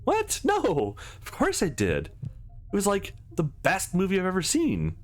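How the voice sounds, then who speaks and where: A man's voice: sits high, huge pitch swings, a little slow, pitched above the performer's own voice, full character voice. A man voicing a sweet, eager young woman in a visual novel, gushing and a little hesitant, with music behind.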